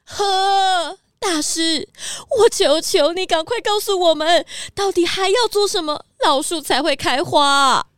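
High-voiced, anxious village woman. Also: unhurried, few pauses, fairly bright tone, no echo, clean studio sound. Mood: anxious pleading. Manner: exclamatory, pleading. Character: anxious, earnest female townsperson pleading for help. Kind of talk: children's audio drama acting.

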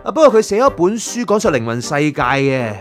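A man acting an animated, inquisitive young guy. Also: a little high, a little fast, soft background music. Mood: explaining. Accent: Hong Kong Cantonese. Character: curious, chatty young man probing a soul prosecutor's past. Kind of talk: audio drama acting.

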